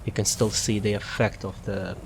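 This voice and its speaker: A man's voice: fairly low pitch, level tone, normal speed, creaky, noisy background. A man casually explaining a video game build as he plays, improvising and correcting himself as he goes. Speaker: gamer presenting a character build guide. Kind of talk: casual gameplay guide commentary.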